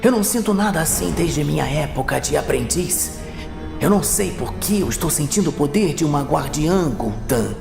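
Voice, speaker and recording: A man's voice: a little high, expressive, moderate pace, creaky, roomy sound. A man voicing a determined, confident archmage who taunts his foe in a fantasy audio drama. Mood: wary. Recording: music behind the voice.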